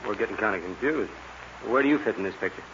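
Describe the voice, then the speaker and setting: An actor playing a courteous, unruffled cowboy stranger with a folksy manner, in a 1940s radio western. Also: male voice, fairly low pitch, expressive, fast, a bit bright. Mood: polite, unruffled. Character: easygoing, polite cowboy stranger on horseback. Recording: dull, lightly noisy 1940s radio recording.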